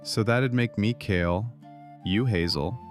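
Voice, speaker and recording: A man playing a calm, gruff young warrior recruit with a rough, matter-of-fact way of talking in a fantasy story. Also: fairly low pitch, a little slow, soft background music, natural voice. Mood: gruff curiosity.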